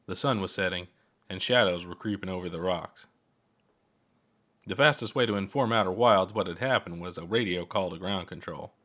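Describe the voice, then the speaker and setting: A man's voice: low voice, normal speed, pushed and bright. A man narrating his own written story in a scripted audiobook style. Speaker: author reading own story.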